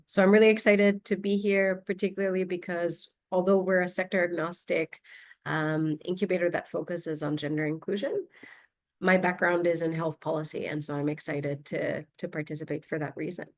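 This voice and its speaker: Lower-voiced woman from Sri Lanka. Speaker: incubator panelist. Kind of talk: webinar introduction. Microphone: video call.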